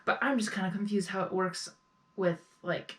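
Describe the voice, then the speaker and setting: A woman giving a casual live reaction as host, excitable and thinking aloud in fragments. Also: medium pitch, moderate pace. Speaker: host reacting to an audio drama.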